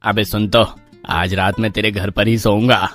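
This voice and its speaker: An actor playing a greedy, excitable, cowardly village man in a cartoon horror story. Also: fairly low pitch, moderate pace, soft background music, lightly acted. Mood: eager. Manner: excitable, stammers when scared.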